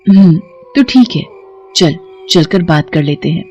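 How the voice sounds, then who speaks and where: A woman's voice: on the low side, lively intonation, a little slow, a little mellow, highs cut off, soft background music. A woman voicing an older village mother, concerned and kindly, in a dramatised horror story.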